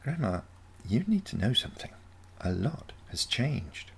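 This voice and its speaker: Storybook teen granddaughter played by a man, calm, condescending, self-assured, gravelly, with clear background noise. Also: mid-range voice, measured pace, pitched above the performer's own voice, heavily acted. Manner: calm, matter-of-fact, condescending. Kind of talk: scripted short story reading.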